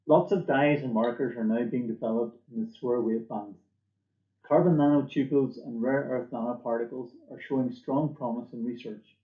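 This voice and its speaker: A man giving a scripted product presentation for a company, in a measured, formal manner, recorded at a desk in a small room. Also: mid-range voice, echoey room, narrow, tinny audio. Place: small indoor office or lab room.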